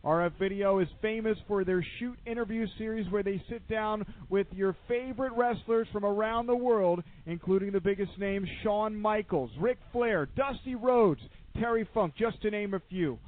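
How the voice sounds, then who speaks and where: A man's voice: high voice, moderate pace. A man reading a scripted radio commercial in an upbeat, salesy way. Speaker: ad announcer. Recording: dry tinny phone-like audio.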